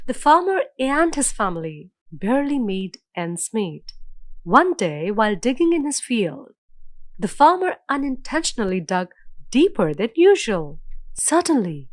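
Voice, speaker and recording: Expressive, high-voiced woman storyteller. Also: dry recording. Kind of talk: scripted children's story narration.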